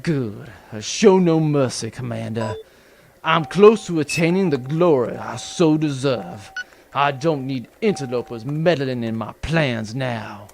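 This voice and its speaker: An actor playing an arrogant, power-hungry villainous space baron in a comedic sci-fi audio drama, with a pompous, gloating manner. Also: male voice, mid-range voice, expressive, a little slow, gravelly, a little mellow, slight background noise. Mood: cold, menacing.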